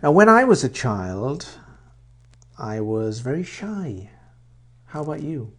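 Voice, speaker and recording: Older male teacher in his sixties, mixed English-Welsh accent, gravelly and unhurried, repeats himself and quizzes learners. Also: medium pitch, sing-song, fairly bright tone. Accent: mix of English and Welsh. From England. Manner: repeats words and asks the listener questions. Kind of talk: slow, simple English for learners.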